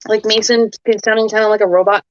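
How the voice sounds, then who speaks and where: A woman's voice: medium pitch, level tone, quick pace, dry recording, highs cut off. A woman chatting casually as a player in a tabletop game, talking on a phone over an online call. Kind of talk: casual chat.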